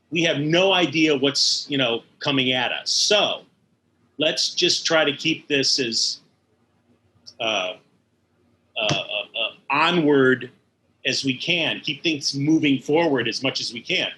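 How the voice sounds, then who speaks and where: A man's voice: mid-range voice, slow, long pauses, pushed and bright. A middle-aged or older man, an interview guest recalling his work in animation with emphatic enthusiasm. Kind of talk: conversational recollection.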